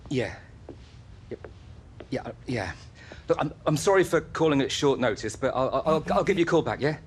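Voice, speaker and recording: Anxious middle-aged agent with swooping pitch, acted by a man. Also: lively intonation, normal speed, slight background noise, natural voice. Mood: flustered. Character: washed-up, anxious middle-aged male football agent, apologetic and pleading. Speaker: football agent. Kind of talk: video game cutscene acting. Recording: background music.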